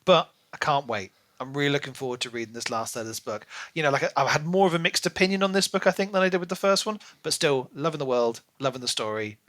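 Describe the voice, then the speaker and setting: Bright forceful guy, podcast co-host, opinionated casual book chat. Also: on the high side, animated, fast talker, few pauses. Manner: emphatic, opinionated.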